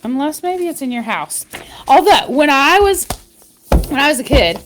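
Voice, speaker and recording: Female picture-book reader: high voice, very animated delivery, expressive emphasis, casual asides to young listeners. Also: normal speed, noisy background. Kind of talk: animated read-aloud storytelling with casual asides.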